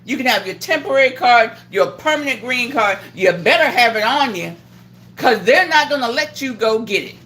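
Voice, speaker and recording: A woman's voice: on the high side, lively intonation, normal speed, fairly bright tone. A woman hosting a livestream, talking politics in a profane, indignant way and acting out the voices of people she quotes. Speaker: livestream host. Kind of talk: heated political chat on a livestream.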